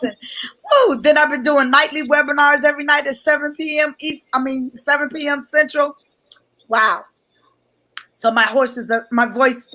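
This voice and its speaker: A woman who sounds a little hoarse, speaking energetically on a live stream, greeting viewers and repeating herself with excitement. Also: high voice. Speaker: guest business coach. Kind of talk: live-stream promotional talk.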